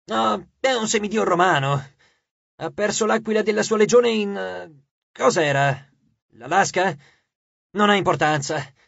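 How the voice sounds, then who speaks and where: A man voicing a scornful, sharp-toothed ghost suitor with a mocking, domineering manner. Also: sits high, lively intonation, a little slow, vocal fry, pauses often, no room sound, dull audio, voice pushed up, heavily acted. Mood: scheming.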